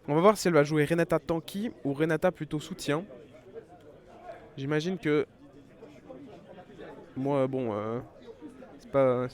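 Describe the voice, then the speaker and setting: A man's voice: medium pitch, darkish tone. A man with a head cold giving live esports commentary, chatty and full of fillers and restarts. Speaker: esports caster.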